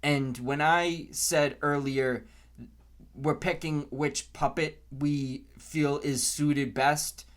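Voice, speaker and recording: A man in his twenties with an American accent, giving an earnest, unscripted opinion monologue in a deliberate way, repeating phrases for emphasis. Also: on the high side, steady tone. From Canton, Massachusetts, US. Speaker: podcast host.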